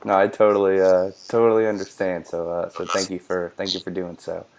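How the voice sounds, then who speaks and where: A man's voice: sits low, flat delivery, fairly quick, vocal fry, crowd noise. A man hosting an interview, speaking hesitantly with many fillers and restarts. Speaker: interview host. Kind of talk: casual interview.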